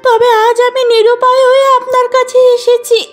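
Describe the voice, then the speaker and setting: A woman's voice: extremely high pitch, little pitch movement, moderate pace, faint music behind, pitched above the performer's own voice. A woman voicing a sorrowful, repentant witch who pleads in a cartoon fairy tale. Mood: pleading.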